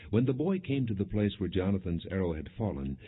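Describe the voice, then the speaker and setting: Casting note: male audiobook narrator, deep and husky, measured scripture storytelling on thin, band-limited audio. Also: sing-song. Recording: muffled narrowband, phone-like audio.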